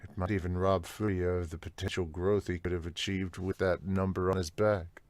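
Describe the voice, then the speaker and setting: A man with a lowish voice talks as a podcast co-host in a casual, blunt way. He is excitable and freely profane, and the recording is close and dry, with hardly any room sound. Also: moderate pace, dry recording. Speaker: podcast co-host reviewing manga. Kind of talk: casual reaction chat with comments read aloud.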